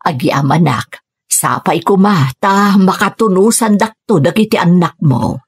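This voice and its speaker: A woman's voice: on the low side, expressive, measured pace, slightly rough, exaggerated voice. A woman playing a hesitant middle-aged mother and new partner in a radio drama, with a Filipino accent. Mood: hesitant, hopeful.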